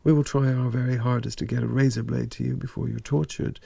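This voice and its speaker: An actor playing a grave, cold male conspirator in an audio drama, speaking in a measured, solemn way. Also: mid-range voice, little pitch movement, gravelly, mellow, breathy edge, no room sound, clean studio sound.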